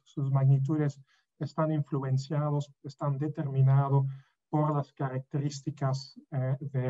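A middle-aged man from Belgium, not a native speaker, lecturing as a professor on a video call, halting with many fillers and restarts. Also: a little high, warm tone. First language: not Spanish. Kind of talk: online lecture. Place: room at home. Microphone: webcam on a video call. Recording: dull lo-fi video call audio.

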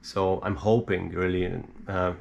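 A man giving a casual, unscripted hands-on explainer as a hobbyist, halting and hesitant as he thinks aloud. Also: low-pitched, creaky, lo-fi sound. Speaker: DIY electronics hobbyist presenter. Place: small indoor home workshop. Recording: steady background noise.

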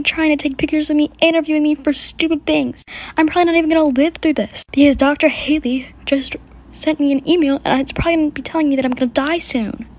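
Woman playing a sick girl: high, sulky, sarcastic, resentful. Homemade skit.

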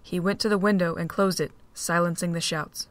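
A woman reading the narration of a fan audio drama in a steady storytelling voice. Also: lowish voice, a little fast.

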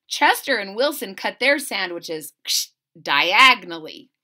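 A woman reading a picture book aloud expressively, pausing to explain and ask young listeners simple questions. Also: medium pitch. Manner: animated, explanatory, addressing children with questions. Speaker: reader of a children's picture book.

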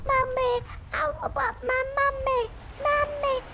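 A woman's voice: very high voice, little pitch movement, creaky, exaggerated voice. A woman voicing a sweet, hesitant little girl in a video game cutscene. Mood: pleading. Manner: slow, hesitant, babyish. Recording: game audio with background noise.

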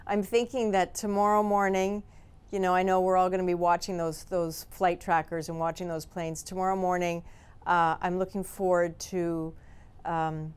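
Smooth-voiced woman news anchor. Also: lowish voice, level tone, normal speed. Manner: warm, smiling, conversational on air. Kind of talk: live news anchoring and chat. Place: TV news studio.

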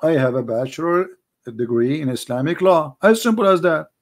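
Emphatic Arabic-speaking man, fairly high voice. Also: lively intonation, moderate pace, crowd noise. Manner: emphatic, repeats himself, non-native grammar. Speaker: debate show host. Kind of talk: heated call-in debate.

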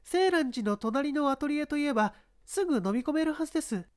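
High, expressive, composed young woman acted by a woman. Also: fairly quick, no echo, clean studio sound. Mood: earnest, explaining. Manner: polite, formal, detailed. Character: composed young woman giving testimony to police. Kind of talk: dramatized mystery story reading.

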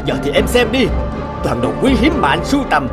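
A man's voice: sits high, sing-song, a little fast. A man voicing a stern, irritable middle-aged master of the house in a cartoon, full of indignant reproach. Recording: music under the voice.

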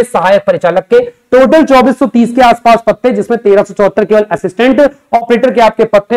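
High-pitched young male coaching teacher. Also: a little mellow, rough recording. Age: young adult. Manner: emphatic, conversational, asks and answers his own questions. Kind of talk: explanatory lecture to students. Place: indoor teaching studio in front of a digital board.

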